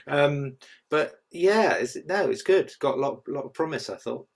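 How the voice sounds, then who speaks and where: A man's voice: fairly high pitch, animated, moderate pace, gravelly. A man giving casual feedback on a script as a reader, hesitantly circling back over his phrases.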